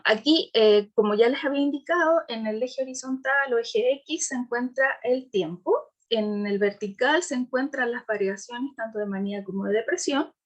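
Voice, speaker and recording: A woman's voice: a little high, highs cut off. A woman with a Chilean accent gives an informal talk as a patient, speaking candidly with frequent fillers and restarts. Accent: Chilean Spanish. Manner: candid, laughs while telling her story. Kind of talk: informal presentation on a video call.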